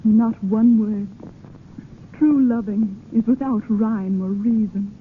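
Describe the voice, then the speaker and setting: A woman's voice: a little high, takes it slowly, a little hiss or hum. An actress playing a poised, romantic young noblewoman with refined, demure delivery in old-time radio style. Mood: tender. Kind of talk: 1930s-40s radio acting. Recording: muffled old radio broadcast.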